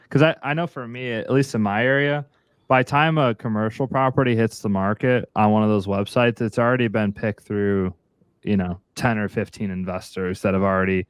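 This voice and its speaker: A man with a fairly low voice hosts an interview podcast. He talks conversationally, like one investor to another, and the recording is dry with little room sound. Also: clear, resonant tone, no room sound.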